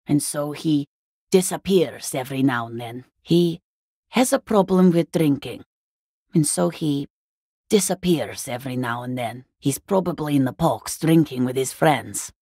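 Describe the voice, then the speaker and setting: Husky, weary working-class mother. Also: low voice, dark tone, no room sound, studio quality, exaggerated voice. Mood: guarded, polite. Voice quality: husky, gravelly. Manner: halting, subdued, plain-spoken. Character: weary, worried middle-aged working-class wife and mother. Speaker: video game character. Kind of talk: dramatic game voice acting.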